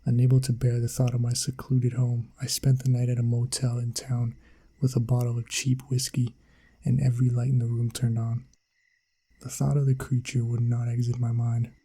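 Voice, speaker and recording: A man reading a scripted first-person horror story in an ASMR style, with a dramatic, suspenseful delivery and a husky, gravelly voice. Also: mid-range voice, completely flat delivery, normal speed, dark tone. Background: background music.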